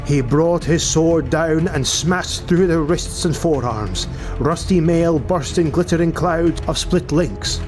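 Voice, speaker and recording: A man narrating a fantasy audiobook in a measured, theatrical, dramatic style. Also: a little high, darkish tone. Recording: music behind the voice. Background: music.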